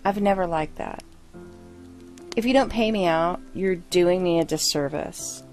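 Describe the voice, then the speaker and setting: A woman narrator plays a young girlfriend from a horror story, frank and playful. She talks bluntly and conversationally, in a fairly low female voice, with faint music and rain behind her. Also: a little slow, darkish tone, natural voice. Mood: blunt, self-deprecating.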